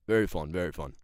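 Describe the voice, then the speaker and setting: A man with a gravelly voice, an amateur voice actor chatting casually in loose, slangy talk. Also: low voice, no echo. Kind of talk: casual chat.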